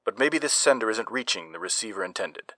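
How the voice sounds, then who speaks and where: A man narrating the opening of a horror audio drama in a measured, ominous tone. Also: fairly low pitch, expressive, pushed and bright, no echo. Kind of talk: scripted narration.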